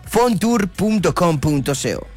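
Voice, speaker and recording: A man's voice: high-pitched, big pitch swings, slow pace. A man with a Colombian accent hosting a casual radio show, chatting and reading promotional segments. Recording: music playing behind the voice. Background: background music.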